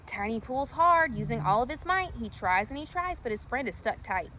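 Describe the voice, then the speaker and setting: A woman storyteller reading a rhyming children's story aloud in an animated style. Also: on the high side, moderate pace, slight background noise.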